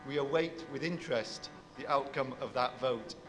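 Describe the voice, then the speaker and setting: A man, a university professor, giving a measured formal speech to an audience, speaking with a big voice. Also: medium pitch, big-room sound, over music.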